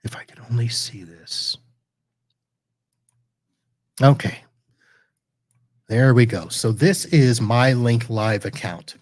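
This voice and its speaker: A man hosting a podcast, casually walking through a software demo live while sharing his screen, chatty and correcting himself as he clicks around. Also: mid-range voice, slow pace, pauses often, dry recording, studio quality. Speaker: podcast host reviewing network gear.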